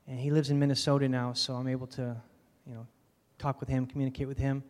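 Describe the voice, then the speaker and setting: A man from Michigan, a pastoral candidate, sharing his background in a mild, soft-spoken, rambling way. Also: mid-range voice, flat delivery, fast. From Michigan, USA. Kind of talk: casual personal testimony. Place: church hall. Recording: reverberant hall audio.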